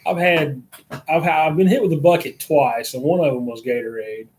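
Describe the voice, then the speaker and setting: A man's voice: fairly high pitch, normal speed, darkish tone. Emphatic male podcast co-host in his thirties. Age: 30s. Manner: emphatic, repeats words for effect. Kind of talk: casual chat.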